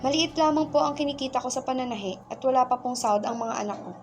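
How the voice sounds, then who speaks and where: A woman's voice: high-pitched, fast, lightly acted. A woman playing a humble, meek but steadfast mother in a radio play, speaking deferentially and pleadingly. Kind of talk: radio play acting in Filipino.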